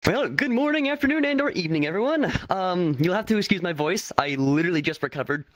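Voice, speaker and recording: Slightly raspy man, upbeat presenter. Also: sits high, expressive, quick pace, dry recording. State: slightly raspy after an infection. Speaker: avatar creator presenting his work. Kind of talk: casual presentation.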